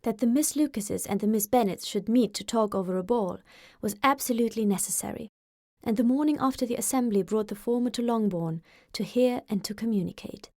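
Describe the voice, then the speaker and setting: A woman's voice: fairly high pitch, fairly quick, darkish tone, no room sound, studio quality. A woman reading scripted literary narration as an audiobook narrator.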